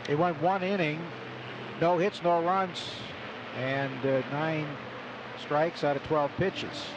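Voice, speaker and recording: A man's voice: on the high side, fairly even intonation, takes it slowly, background noise. A man adding brief statistical asides as a commentator on a live radio baseball broadcast. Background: ballpark ambience.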